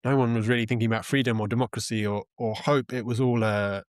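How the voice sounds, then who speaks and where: A man speaking as an interview guest, explaining things in a thoughtful, halting, conversational way. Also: mid-range voice, fairly even intonation, no room sound, clean studio sound. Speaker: interview guest, journalist and author.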